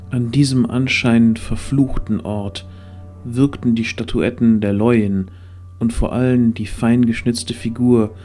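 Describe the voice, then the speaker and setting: A man narrating a scripted fantasy story in a measured, grave, dramatic way. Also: fairly low pitch, dark tone.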